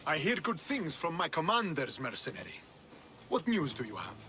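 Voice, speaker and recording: An actor playing a proud, loyal Spartan officer who speaks formally in a video game cutscene. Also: male voice, a little high, wildly swooping pitch, normal speed. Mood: proud, rallying. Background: music.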